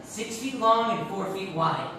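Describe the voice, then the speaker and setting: An actor playing a meek, wheedling doctor who follows a villain, deferential and informal, in stage comedy style. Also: male voice, high voice, expressive, unhurried, sharp tone, exaggerated voice. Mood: conspiratorial. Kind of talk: theatrical stage comedy acting. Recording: echoey theatre, far from mic, faint noise.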